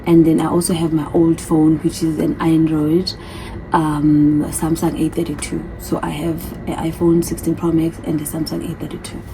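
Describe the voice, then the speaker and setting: Low-voiced woman in her thirties, chatty. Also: level tone, normal speed, creaky, noisy background. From from a different continent. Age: 30s. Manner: chatty, repeats herself, reads questions aloud. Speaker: vlogger. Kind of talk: casual Q&A vlog. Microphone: phone.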